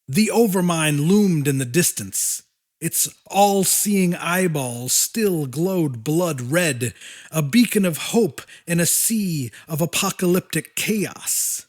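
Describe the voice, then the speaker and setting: A man narrates a dramatic audiobook. His voice is fairly high for a man, with lively pitch movement and a slow, deliberate pace. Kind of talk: dramatic scripted fiction narration.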